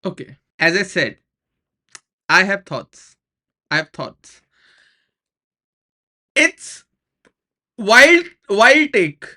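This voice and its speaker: A man's voice: high-pitched, big pitch swings, extremely slow, long pauses, bright, forceful tone. A young man with an Indian accent giving casual, opinionated reaction commentary, slipping informally between two languages. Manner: opinionated, informal, switching between English and Hindi.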